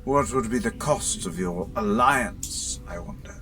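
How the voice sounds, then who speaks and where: A man's voice: medium pitch, big pitch swings, unhurried, gravelly, sharp tone, soft background music, exaggerated voice. A man playing a haughty, suspicious king who questions shrewdly in a fantasy audio roleplay. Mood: wary, haughty. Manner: clipped, suspicious, regal questioning.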